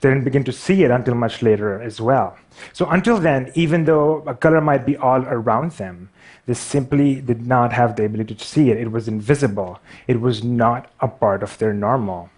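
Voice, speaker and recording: A man's voice: mid-range voice, moderate pace, a little mellow. Wry man with a Pakistani accent. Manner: wry, self-deprecating, light stutter. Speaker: speaker giving a talk. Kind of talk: prepared talk with humor.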